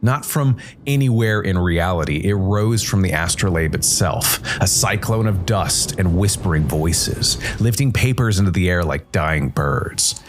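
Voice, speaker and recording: A man narrating a scripted first-person noir story as a world-weary supernatural detective, brooding and dramatic, with deliberate, weighted pauses. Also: low-pitched, animated, noisy background. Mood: ominous, grave. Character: world-weary supernatural noir detective, male jazz musician working for Death.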